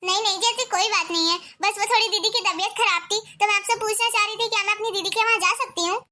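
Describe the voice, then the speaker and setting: A woman's voice: extremely high pitch, fast, bright, forceful tone. A woman acting an anxious young wife who frets and pleads in a family drama. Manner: fretful and pleading.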